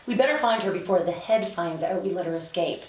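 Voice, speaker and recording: A woman's voice: on the low side, brisk, darkish tone, close to an everyday voice. A woman playing a bossy, exasperated housemate who blames others, at a table read in an echoey room. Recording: echoey room, distant mic.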